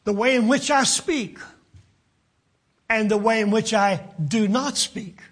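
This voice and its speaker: An older male preacher with a West Virginian accent and a high, husky voice. He talks haltingly, stopping often to search for words. His delivery is unhurried and sincere. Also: a bit bright. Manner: halting, many fillers and restarts. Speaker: pastor. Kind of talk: sermon.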